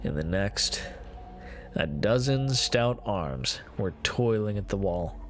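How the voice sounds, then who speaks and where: A man playing an unhinged, gloating murderer confessing his crime in a theatrical, deliberate gothic horror reading. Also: fairly low pitch, expressive. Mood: hushed dread. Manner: theatrical, ornate, deliberate phrasing with swelling emphasis.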